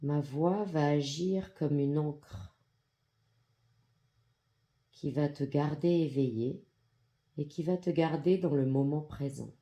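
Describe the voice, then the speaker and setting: A yoga nidra guide with an androgynous voice leads a guided meditation in a calm, soothing, measured manner with long pauses. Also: mid-range voice. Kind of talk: guided meditation, soft instructional narration.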